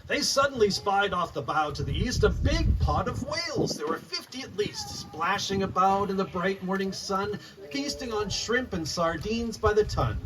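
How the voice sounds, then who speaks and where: Animated man reading aloud, high-pitched and lively. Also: normal speed. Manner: expressive, addressing young listeners. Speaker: children's author reading aloud. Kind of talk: animated picture-book read-aloud in rhyme. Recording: noisy, distant mic, live room ambience.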